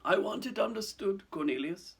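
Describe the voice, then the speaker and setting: A dignified old headmaster from a storybook. The voice is lifted well above a normal male register, measured, formal and quietly steadfast. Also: high voice, animated, vocal fry, husky, voice pushed up, exaggerated voice. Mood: firm.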